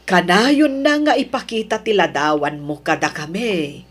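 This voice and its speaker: A woman's voice: fairly low pitch, normal speed, close to an everyday voice. A woman playing a middle-aged village mother, hospitable yet watchful, in an audio drama with a Filipino accent. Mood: welcoming. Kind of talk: Ilocano audio drama acting.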